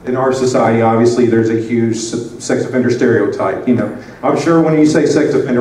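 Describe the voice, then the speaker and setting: A man's voice: mid-range voice, darkish tone, distant mic. Rambling man speaking at a conference. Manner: rambling, many fillers and restarts. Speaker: conference presenter. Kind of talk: conference talk. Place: conference room. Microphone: handheld microphone. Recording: roomy sound from off mic with faint noise.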